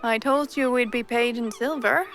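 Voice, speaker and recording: A woman's voice: fairly high pitch, steady tone, normal speed, a bit bright, faint music behind. A woman voicing a shrewd but kind older female pirate captain, speaking in a wry, confident, teasing way, in a cartoon dub. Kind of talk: fan comic dub acting.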